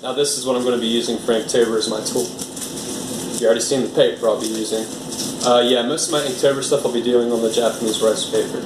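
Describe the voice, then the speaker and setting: A man's voice: medium pitch, fairly even intonation, fast, recorded from a distance, noisy background. Rambling American man in echoey room. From United States. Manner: rambling, filler-heavy, easygoing. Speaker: art vlogger. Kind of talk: casual unboxing chat.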